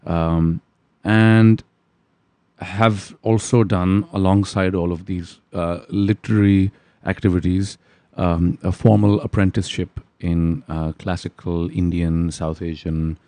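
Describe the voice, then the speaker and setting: A man, giving articulate, measured interview answers with many pauses. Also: sits low, steady tone, warm tone, no echo. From Pakistan. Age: 30s. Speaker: guest.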